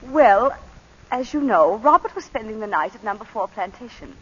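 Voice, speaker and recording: Nervous planter's wife, breathy and lively, 1940s radio play. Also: female voice, fairly high pitch. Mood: composed. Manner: nervous, halting, then composed storytelling. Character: tense, frightened planter's wife, adult woman. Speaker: lead actress in radio drama. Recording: dull old radio broadcast.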